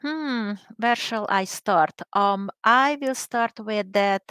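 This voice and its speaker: A woman's voice: mid-range voice, slow, fairly bright tone, clear, resonant tone, no echo, studio quality. A woman from Hungary with a Hungarian accent, a chatty, good-humored guest on a casual podcast. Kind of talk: casual chat.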